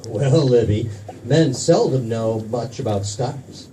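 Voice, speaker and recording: An actor playing an affable, smooth radio announcer selling in a 1940s commercial style. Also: male voice, mid-range voice, unhurried, mellow, natural voice. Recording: distant mic, faint room noise.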